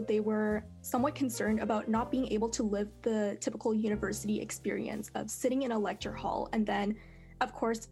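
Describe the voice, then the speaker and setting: A young woman, a fourth-year student, speaking in a polished, measured, carefully worded way as a panel guest. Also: medium pitch, a little mellow. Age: young adult. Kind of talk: prepared self-introduction on a panel.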